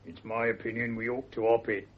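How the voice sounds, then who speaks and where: An actor playing a gruff, superstitious Cockney manservant in a radio drama, blunt and grumbling, with a husky voice. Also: male voice, medium pitch, little pitch movement, brisk, natural voice. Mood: wary, grumbling. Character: gruff, superstitious working-class manservant, wary and cheeky. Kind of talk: British radio drama acting. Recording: dull, lo-fi radio recording.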